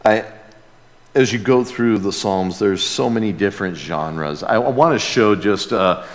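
A man's voice: lowish voice, a little slow. A man preaching a sermon, speaking emphatically with repeated key words and rhetorical questions. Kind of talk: expository sermon. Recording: hall with long reverb.

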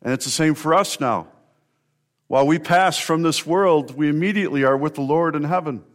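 A man preaching an Easter sermon as a pastor, earnest and warm in delivery, sometimes building to emphatic repetition. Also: on the high side, moderate pace.

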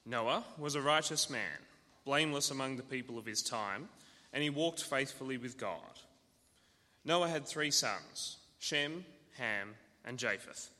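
A man reading a Bible passage aloud in church, steady and measured. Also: medium pitch. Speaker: scripture reader. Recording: church hall reverb.

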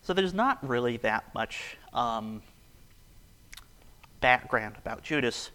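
A man preaching a casual, conversational sermon, his voice raspy and hoarse from a cold. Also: medium pitch, animated, slow pace, pushed and bright.

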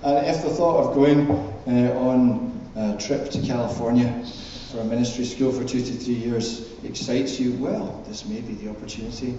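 A man leads a church service in a very echoey hall, heard from a distance. His voice is mellow and his delivery informal, with a dull sound to the recording. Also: mid-range voice, steady tone, moderate pace, few pauses, slight background noise. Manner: chatty, off the cuff. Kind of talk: informal church address and scripture reading.

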